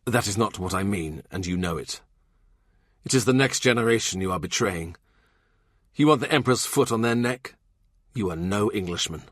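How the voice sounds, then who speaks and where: A man reading an audiobook voices a royal minister who argues in a forceful, heated, blunt way. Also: lowish voice, expressive, moderate pace, dry recording, studio quality.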